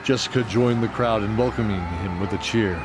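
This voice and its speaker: A man with a low voice narrates a fantasy audio drama over clear background music. The delivery is scripted, descriptive storytelling. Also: brisk.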